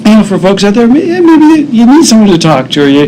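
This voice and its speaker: A man with a fairly high voice co-hosts a local TV talk show in a chatty, upbeat way, full of "you know" and "um". Background noise is clearly audible under his voice. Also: big pitch swings, fast, dark tone, poor audio. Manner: upbeat, chatty, frequent fillers. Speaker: co-host.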